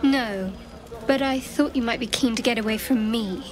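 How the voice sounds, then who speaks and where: Guarded, high-voiced young woman cleric played by an actress. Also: moderate pace, over music, close to an everyday voice. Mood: guarded, wry. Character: guarded, wry young female cleric, dryly teasing. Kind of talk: video game character dialogue.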